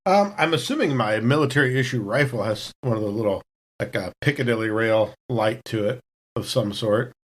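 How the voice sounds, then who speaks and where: A man's voice: mid-range voice, normal speed. A man playing a tabletop game, casually improvising a description of what his character does.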